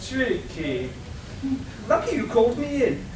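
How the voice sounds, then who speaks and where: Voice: medium pitch, very animated, unhurried. Performer as haughty robot tailor, roomy distant recording. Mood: disdainful, flamboyant. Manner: pompous, dramatic, sprinkled with French phrases. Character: haughty, theatrical robot tailor with French fashion-designer airs. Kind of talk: live comic radio play. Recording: roomy distant live recording with background noise.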